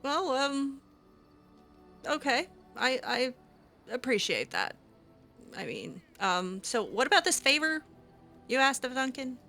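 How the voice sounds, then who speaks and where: Woman roleplaying a character, hesitant, ums and restarts, lively intonation, soft music behind. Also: high-pitched, takes it slowly, pauses often. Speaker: roleplaying game player. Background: faint game music.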